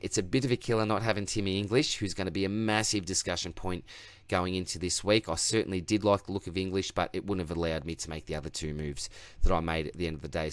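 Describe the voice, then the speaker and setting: A man's voice: deep voice, clear, resonant tone, no echo. An Australian man hosting his own sports channel, reviewing his fantasy team in a chatty, rambling, self-mocking way, his voice tiring. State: voice getting tired. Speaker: fantasy football channel host. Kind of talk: casual solo sports review.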